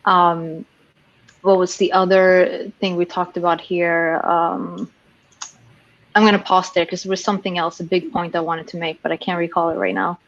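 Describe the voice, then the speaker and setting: A woman's voice: on the low side, little pitch movement, normal speed, muffled recording. A woman from Sweden, a sales professional speaking frankly on a panel, often restarting her sentences. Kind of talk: casual panel discussion.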